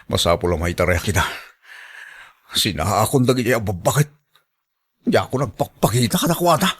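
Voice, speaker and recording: A man acting an overwrought, pleading young man in an Ilocano radio drama, with an Ilocano accent and a gravelly, muttering delivery. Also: fairly low pitch, expressive, normal speed, fairly bright tone. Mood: panicked. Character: cornered cheating young man, later injured, pleading son.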